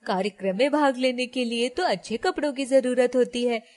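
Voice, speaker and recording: A woman puts on a snooty rich young woman for a storybook tale. She sneers and mocks in a fairly high voice. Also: normal speed, a little mellow, natural voice. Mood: mocking. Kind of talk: moral-story dialogue.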